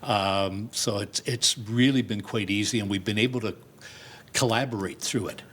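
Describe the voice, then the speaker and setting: Husky older man, informal interview guest. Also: on the low side, normal speed, a bit bright. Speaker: community theater director and set designer. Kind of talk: conversational television interview.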